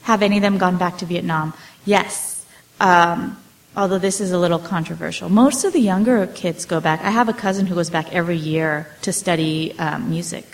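A woman's voice: on the low side, steady tone, moderate pace. A woman in her forties, an author, talking about her family's history in a loose, hesitant way, full of fillers and restarts. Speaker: author answering audience questions. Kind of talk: casual storytelling talk.

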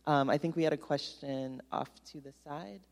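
Low-voiced woman moderator, upbeat, in a hall. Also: steady tone. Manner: upbeat and brisk, says she is loud. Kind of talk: event moderation. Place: auditorium. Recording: long hall reverb.

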